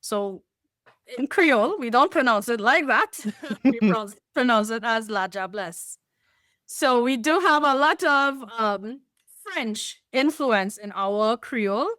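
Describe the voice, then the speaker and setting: A woman from Trinidad and Tobago with a Trinidadian accent, explaining things in a measured way as a podcast guest. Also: on the high side, expressive, sharp tone, dry recording, studio quality. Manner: explanatory, measured, with light laughs. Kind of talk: podcast interview.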